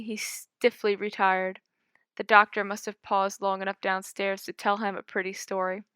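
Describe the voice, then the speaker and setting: A woman narrating a spooky story in a measured, dramatic reading. Her voice is bright and crisp, recorded close and dry with no room sound. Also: mid-range voice, dry recording. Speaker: audiobook reader.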